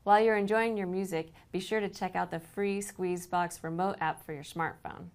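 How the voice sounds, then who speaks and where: Female company presenter, lower register, scripted demonstration read. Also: steady tone, moderate pace. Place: indoor living-room set, seated on a sofa.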